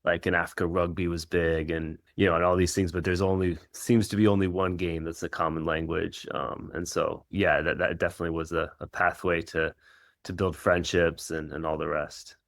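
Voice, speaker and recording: A middle-aged man who grew up in Israel, Kenya and the United States, answering interview questions in an informal, reflective, halting way as a coach. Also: low voice, level tone, vocal fry, a bit bright, no echo, clean studio sound. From Israel and Kenya, United States from age 13. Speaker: professional soccer coach.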